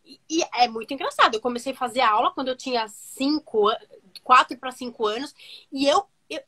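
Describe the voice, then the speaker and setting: Animated high-voiced Brazilian woman on a call. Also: slightly rough. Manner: animated, gestures a lot, many fillers. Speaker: music teacher guest. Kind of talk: casual storytelling interview. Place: home room with bookshelves. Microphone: video call.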